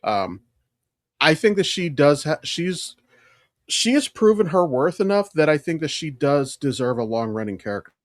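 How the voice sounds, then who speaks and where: An American man co-hosting a podcast in casual chat, rambling and halting as he thinks aloud. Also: on the high side, sing-song, dry recording, studio quality. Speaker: podcast co-host. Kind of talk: casual chat about comics and films.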